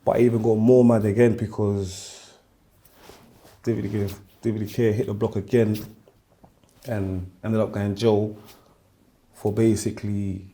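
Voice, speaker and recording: Very mellow, dark-voiced South-East London man. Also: on the low side, little pitch movement, a little slow, long pauses. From Woolwich, London; born in France. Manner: slangy, uses tags like "innit" and "you get me". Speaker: podcast guest. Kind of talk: casual chat, street slang.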